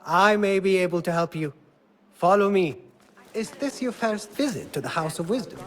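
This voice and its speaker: A man's voice: high voice, animated, moderate pace, fairly bright tone. An actor playing a polite, scholarly man with a devious, cowardly streak in a video game. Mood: courteous, probing.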